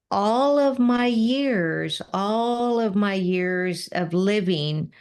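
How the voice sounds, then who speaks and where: Slow-speaking earnest middle-aged woman. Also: medium pitch, clean tone. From Mexican-American background. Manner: earnest, repeats words, frequent "right?" tags. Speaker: podcast guest, business coach. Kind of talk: conversational interview.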